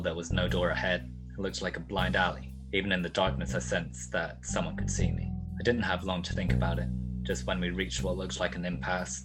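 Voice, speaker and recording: A man playing a hard-boiled private detective in a noir radio play, sardonic and world-weary. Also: low voice. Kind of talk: 1940s noir radio narration and dialogue.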